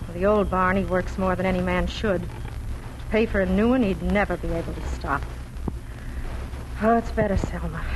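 Practical farm mother, female actor, wry, caring, a little weary, mid-pitched, old-time radio play with faint hiss. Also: close to an everyday voice. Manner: plain-spoken, wry. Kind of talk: 1940s radio acting. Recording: faintly noisy old 1940s radio broadcast.

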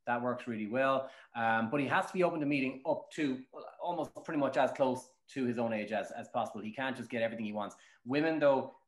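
A man's voice: mid-range voice, fast talker, few pauses. An Irish man answering interview questions as a matchmaker, in a colloquial, emphatic storytelling manner. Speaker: matchmaker guest. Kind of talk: animated interview answers with anecdotes. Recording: roomy, echoing sound.

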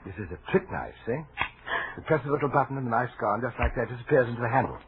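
An actor in a 1940s radio drama playing a courteous, lonely and menacing gentleman suitor who speaks with hesitant, insinuating formality. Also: medium pitch, expressive, very fast, background noise, close to an everyday voice. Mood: quietly menacing. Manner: soft-spoken formal politeness, hesitant, insinuating. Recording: thin, phone-like 1940s radio recording.

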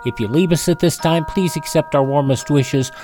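A man's voice: fairly high pitch, moderate pace, music in the background. A man presenting a radio show conversationally, with genial, courteous, old-fashioned phrasing.

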